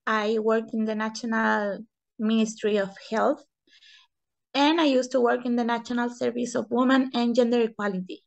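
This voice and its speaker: A woman from Chile with a Chilean Spanish accent, giving interview answers in halting non-native English and searching for words. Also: fairly high pitch, steady tone, creaky. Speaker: interview guest, economist and feminist.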